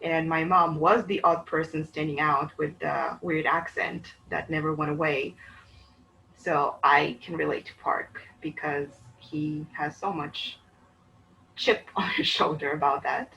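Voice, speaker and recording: Woman, from Bulgaria, casual book chat, animated, restarts often, lowish voice, faint background hiss. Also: measured pace, creaky, rough recording. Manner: chatty, animated, self-interrupting. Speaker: book channel co-host.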